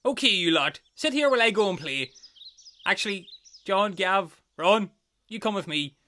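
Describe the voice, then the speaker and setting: Man playing a bright, swooping mock-messiah. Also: high-pitched, lively intonation, moderate pace. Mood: casual. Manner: theatrical, breaking into casual asides. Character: Jimmy, a flippant mock-messiah among shipwrecked lads. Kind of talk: comic audio story.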